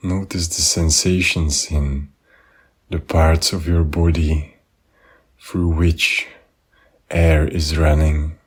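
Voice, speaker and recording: Male meditation guide, deep and husky, gentle spoken instruction with unhurried pauses. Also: little pitch movement. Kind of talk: guided body scan meditation.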